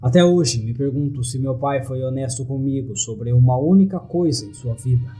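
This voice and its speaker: Brazilian guy reading creepypasta, mellow dark tone, grave, soft music behind. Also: mid-range voice, moderate pace. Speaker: horror story narrator. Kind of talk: first-person creepypasta narration. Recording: faint music bed behind narration.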